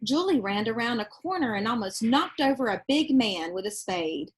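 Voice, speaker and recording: Higher-voiced woman reading a children's storybook. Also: normal speed, husky, rough recording. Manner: animated reading, explaining pictures to young listeners. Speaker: storybook reader. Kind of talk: expressive children's read-aloud with casual asides.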